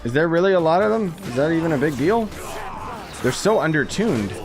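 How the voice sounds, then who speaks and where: A man's voice: on the high side, very animated, brisk, over music. A young man giving casual, joking, sarcastic commentary while playing a video game. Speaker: gaming commentator. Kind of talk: casual let's-play commentary.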